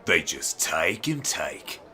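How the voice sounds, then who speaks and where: A man playing a surly, bitter village thug with a crude, gruff, menacing manner. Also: low voice, big pitch swings, takes it slowly, bright, forceful tone, heavily acted. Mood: grumbling. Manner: gruff, foul-mouthed, menacing.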